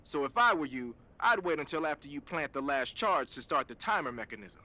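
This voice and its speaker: A man's voice: fairly high pitch, big pitch swings, a little fast, bright, forceful tone, exaggerated voice. An actor playing a cool, slangy male weapons expert who briefs casually in a video game. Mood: breezy, instructive. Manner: casual, streetwise, chatty.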